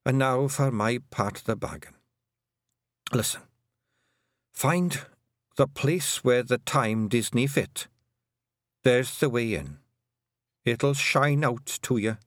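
A man's voice: medium pitch, no echo, clean studio sound, voice pushed up. A man voicing a tiny, dying old fairy clan mother, bossy and shrewd, in a lilting rural brogue. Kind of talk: audiobook character voice.

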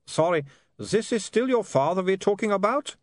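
A man's voice: high-pitched, expressive, moderate pace, no echo, pitched above the performer's own voice. A man voicing a cheerful, unflappable male vampire who talks in a breezy, teasing way, in a dry, close recording. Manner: breezy, teasing, lightly sardonic.

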